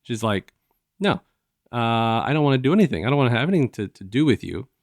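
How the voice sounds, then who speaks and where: A man hosting a review show, casually retelling a story in a halting, conversational way and acting out bits of dialogue. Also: medium pitch, big pitch swings, no echo, clean studio sound. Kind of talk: casual discussion and retelling of an audio drama.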